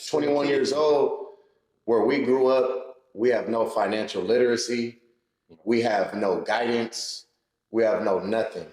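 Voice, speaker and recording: Low voice podcast host guy backing up his guest, roomy echo. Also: darkish tone. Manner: affirming, sums up and rephrases the guest. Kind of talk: casual interview chat. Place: podcast studio room.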